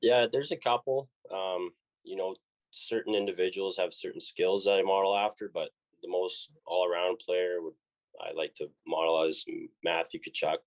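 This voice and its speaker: Young man, Canadian accent, very low voice, flat delivery, relaxed interview guest. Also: moderate pace, low-quality recording. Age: young adult. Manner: laid-back, many fillers and restarts. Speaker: college hockey player guest. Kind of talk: casual interview answers.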